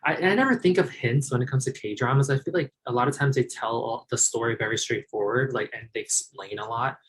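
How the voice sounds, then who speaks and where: A man's voice: medium pitch, brisk, a bit bright, slight background noise. A man talking as a guest on a podcast, easygoing and enthusiastic in casual chat. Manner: easygoing, enthusiastic, quotes himself playfully. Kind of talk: casual chat about a TV drama.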